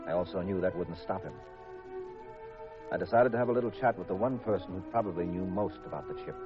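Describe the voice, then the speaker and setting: An actor playing a tough, stubborn investigator in a 1950s radio crime drama, with clipped, no-nonsense delivery. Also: male voice, deep voice, lightly acted. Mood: grim, measured. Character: hard-boiled married male investigator, tough, terse, stubborn. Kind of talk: 1950s radio crime drama acting with first-person narration. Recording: dull vintage radio recording, music behind.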